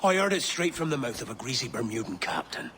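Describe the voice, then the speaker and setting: An actor voicing a gruff, commanding pirate captain, emphatic and ominous, in a video game cutscene. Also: male voice, medium pitch, big pitch swings, fast talker, sharp tone, a little hiss or hum. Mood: grave.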